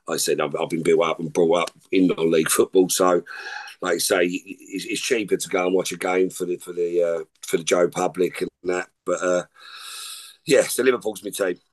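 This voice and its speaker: Middle-aged man, deep voice, casual rambling podcast guest. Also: fairly even intonation, normal speed. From England. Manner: rambling, informal, repeats "like I say" and "listen". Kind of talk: casual chat.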